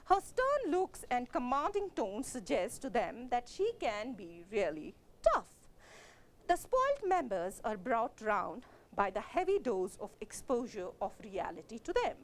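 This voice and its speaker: A woman's voice: on the high side, huge pitch swings, unhurried. A woman with a Kashmiri accent giving a literature lecture as a college lecturer, explaining steadily with frequent fillers and self-corrections.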